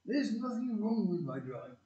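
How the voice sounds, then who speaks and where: A man's voice: high-pitched, big pitch swings, moderate pace, dark tone. A man with an English accent playing a flustered, good-natured older family man at the wheel in a community audio play, muddled and easily riled. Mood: indignant. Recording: dull echoey room recording.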